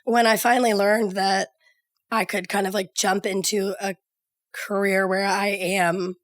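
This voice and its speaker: A woman from Georgia with a Southern accent and a naturally raspy voice, hoarse from a cold, chatting easily as a podcast guest and laughing often. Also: medium pitch, level tone, unhurried, no echo, studio quality. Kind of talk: casual chat.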